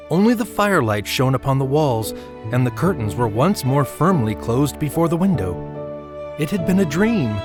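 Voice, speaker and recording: A man narrating a Christmas story in an audio drama, with measured, expressive literary delivery. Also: medium pitch, natural voice. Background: music under the voice.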